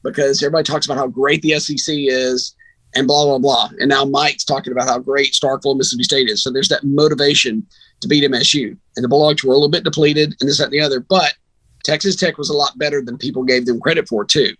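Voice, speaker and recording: A man's voice: medium pitch, steady tone, fast talker, a bit bright, no echo, low-quality recording. A man talking sports casually as a podcast guest, opinionated and emphatic, often rambling into asides. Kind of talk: sports talk, casual conversation.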